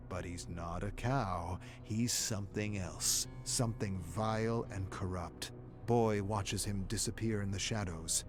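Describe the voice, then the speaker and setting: A man with a fairly low voice tells a grim horror tale as its narrator. His delivery is measured, grave and brooding, scripted and dramatic, with faint music underneath. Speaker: story narrator.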